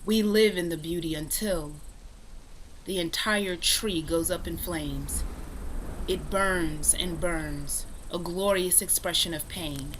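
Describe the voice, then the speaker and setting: Low-voiced woman reading stage directions. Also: slow pace, background noise. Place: online rehearsal on a video call.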